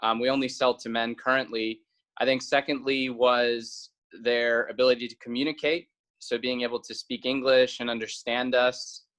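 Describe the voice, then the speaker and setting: A man's voice: mid-range voice, flat delivery, moderate pace, a bit bright. A man from South Carolina giving conversational interview answers as a guest, a little hesitant and repeating words. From South Carolina, United States. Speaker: interview guest, company founder.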